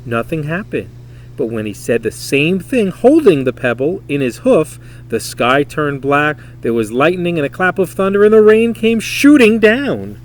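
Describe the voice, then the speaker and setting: A man reading a children's story aloud in an expressive, dramatic, emphatic way. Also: a little high, moderate pace. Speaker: storybook reader.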